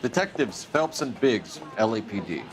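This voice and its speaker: An actor playing a righteous, driven American police detective in a 1940s video game, earnest, clipped and official. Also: male voice, fairly low pitch, sing-song, normal speed, noisy background. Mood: probing, official. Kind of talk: cinematic video game acting.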